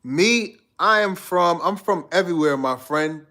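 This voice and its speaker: A man's voice: fairly high pitch, lively intonation, a little slow, bright, forceful tone. A man from Guyana hosting a live stream, chatting loosely with his viewers in a slangy, rambling way and reading out their comments. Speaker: livestream host.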